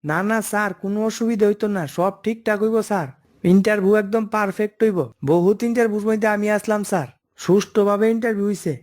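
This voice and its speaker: A man's voice: high voice, steady tone, quick pace, a little mellow, lightly acted. A man playing a scheming cartoon office underling. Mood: cocky, reassuring. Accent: eastern Bengali dialect. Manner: wheedling, overconfident. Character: scheming, cocky male office underling, flattering and pushy. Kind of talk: comic cartoon dialogue.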